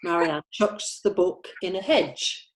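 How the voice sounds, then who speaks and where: A woman with a slightly low voice reads stage directions at a table read. Her delivery is that of scripted narration for a screenplay. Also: slow pace, noisy background.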